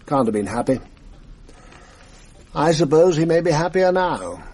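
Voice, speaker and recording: A genial old retired schoolmaster. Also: male voice, a little high, sing-song, a little slow, darkish tone. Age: older. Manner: genial, chatty, old-fashioned. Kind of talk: 1980s radio drama acting.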